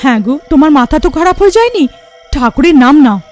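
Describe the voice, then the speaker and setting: A woman's voice: high voice, very animated, quick pace, a little mellow, faint music behind. A woman voicing a frightened young village wife in a cartoon, anxious, pleading and dramatic. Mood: alarmed. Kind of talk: cartoon voice acting.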